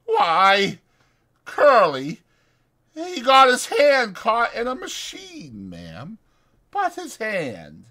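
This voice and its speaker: A man's voice: extremely high pitch, huge pitch swings, very slow, sharp tone, pitched above the performer's own voice, full character voice. A man voicing a cranky, defiant old ranch hand with a blustering, folksy rural American delivery in an audiobook. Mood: sullen, evasive.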